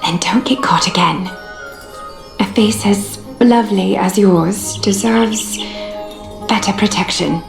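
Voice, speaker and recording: A woman voicing a composed, gracious and wealthy young seamstress in a video game, poised and gently teasing. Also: medium pitch, slow, vocal fry, roomy sound. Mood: gracious, solemn. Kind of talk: acted video game dialogue. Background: background music.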